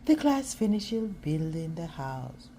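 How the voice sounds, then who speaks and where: A woman reading a children's picture book aloud as a storyteller, expressive and now and then halting over words. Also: sits low, a little hiss or hum.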